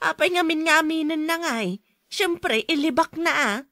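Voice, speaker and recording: A woman plays a meddling gossip of a friend in an Ilocano radio drama, prying and insinuating. Her voice is fairly high with an Ilocano Filipino accent, recorded dry. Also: animated, measured pace, bright, forceful tone, no room sound, full character voice.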